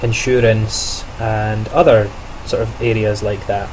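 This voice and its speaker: A man's voice: fairly low pitch, level tone, unhurried, background noise. A young man, a trainee teacher, talking through his day in a casual video diary, chatty and reflective with frequent self-corrections and small laughs.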